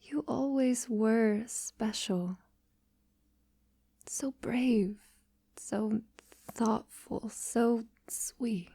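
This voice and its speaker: Woman acting a soft, mellow vampire lover. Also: fairly high pitch, no echo, clean studio sound, heavily acted. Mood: tender, adoring. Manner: soft, slow, breathy delivery slipping into whispers. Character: tender, devoted female vampire lover, refined and possessive. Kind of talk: ASMR romantic audio roleplay.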